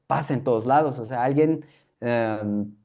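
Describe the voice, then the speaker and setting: A man's voice: medium pitch, a little slow, dark tone. A young man from Guatemala, a native K'iche' speaker whose Spanish carries a K'iche' accent, speaking reflectively as an interview guest with frequent fillers and restarts. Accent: K'iche'-influenced Guatemalan Spanish. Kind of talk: conversational interview.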